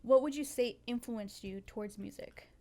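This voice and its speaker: A woman's voice: a little high, moderate pace, warm tone. Supportive American woman hosting a podcast. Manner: supportive, affirming. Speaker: podcast host. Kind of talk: casual interviewing.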